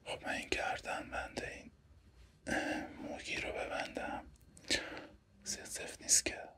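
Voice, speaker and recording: Man whispering as a gentle barber. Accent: Persian. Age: young adult. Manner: soft polite whisper, addressing the listener as a client. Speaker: ASMR creator playing a barber. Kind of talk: whispered ASMR roleplay. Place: dim small room indoors.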